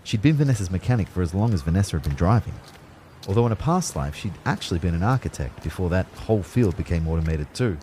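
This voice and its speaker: A wry, puzzled young Australian narrator telling his story in an audio drama. His voice is low, mellow and husky, with lively swings in pitch. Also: quick pace, a little hiss or hum. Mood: puzzled, reflective. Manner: wry, expressive. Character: bemused young male first-person narrator, wry, puzzled. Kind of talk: scripted first-person drama narration.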